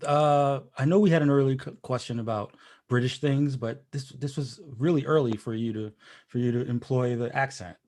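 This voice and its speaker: A male podcast host who jokes his way through a casual chat, often restarting his sentences as he rambles on. His voice sits at a middling pitch. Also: moderate pace, darkish tone. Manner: rambling, joking, many restarts.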